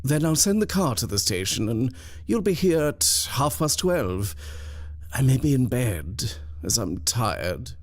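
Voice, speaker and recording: A man voicing an uneasy, evasive gentleman of a country house in a story reading, with clipped, strained composure. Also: medium pitch, sing-song, measured pace, voice pushed up. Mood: anxious, controlled.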